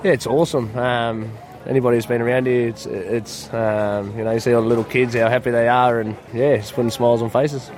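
A man's voice: mid-range voice, fairly quick, few pauses. A man from New South Wales, a footy player, chatting casually in a vox pop interview with many fillers. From New South Wales, Australia. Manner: relaxed, friendly, filler-heavy.

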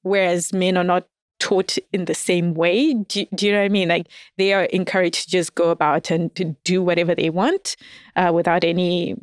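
Woman guest chatting on a podcast, laughs a lot, lively storytelling, dry close mic. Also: on the low side, normal speed, clean tone, no room sound. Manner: laughs often, animated storytelling. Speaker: guest, audio fiction writer. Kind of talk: casual interview chat.

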